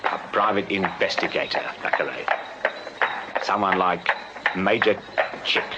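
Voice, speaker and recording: An actor playing a shrewd Victorian police sergeant in a radio drama, speaking in a dry, authoritative, deliberate English voice. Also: male voice, sits low. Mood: dry, cynical. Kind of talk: radio period drama acting. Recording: slightly dull, hissy radio drama recording.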